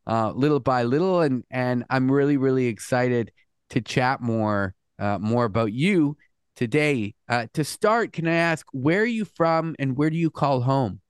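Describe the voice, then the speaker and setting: A man's voice: medium pitch, a little slow, clear, resonant tone, no echo, clean studio sound. A man hosting a podcast interview, earnest and chatty, with frequent restarts and "mm" sounds. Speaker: podcast host. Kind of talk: casual interview chat.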